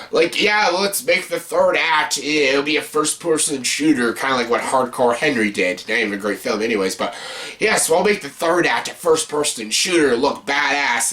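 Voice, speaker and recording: A man's voice: mid-range voice, bright, forceful tone. A man hosting a casual ranked-list movie review, blunt, profane and exasperated, repeating himself for emphasis. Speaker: video host, amateur movie reviewer.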